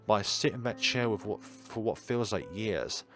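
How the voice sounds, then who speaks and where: A man's voice: lowish voice, lively intonation, normal speed, a bit bright. A man reading an anguished, self-blaming teenage schoolboy who pleads and narrates his own story in a visual novel. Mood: grieving.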